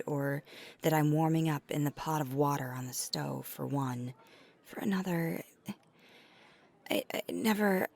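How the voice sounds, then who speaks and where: A woman voicing a flirty, caring vampire in an intimate ASMR roleplay, soft-spoken and breathy, sometimes near a whisper, with halting hesitations. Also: low-pitched, exaggerated voice. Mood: hesitant, vulnerable. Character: flirty, caring female vampire on a dinner date.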